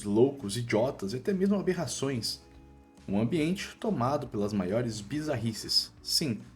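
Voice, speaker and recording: Animated Brazilian man narrating a story recap. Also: mid-range voice. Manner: colloquial, dramatic storytelling. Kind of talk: scripted narration of a comic recap. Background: music.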